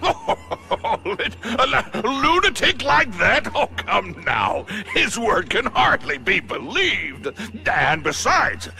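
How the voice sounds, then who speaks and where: An actor playing a big, scheming crime boss in a video game, pompous, theatrical and volatile. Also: male voice, very high voice, big pitch swings, slow pace, creaky, sharp tone, soft background music, heavily acted. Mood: mocking. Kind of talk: video game cutscene voice acting.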